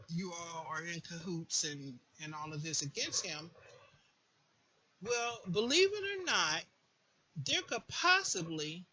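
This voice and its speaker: Bright, emphatic woman giving advice. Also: on the low side. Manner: emphatic, repeats words for stress. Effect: voice distortion late in the recording. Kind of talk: informal spoken advice. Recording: faint background noise.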